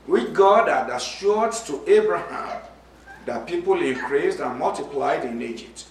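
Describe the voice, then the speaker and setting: A man with a Nigerian accent preaching a sermon, speaking slowly and distinctly and reading scripture aloud. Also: on the high side, fairly bright tone, a little hiss or hum. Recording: echoey room, from far off.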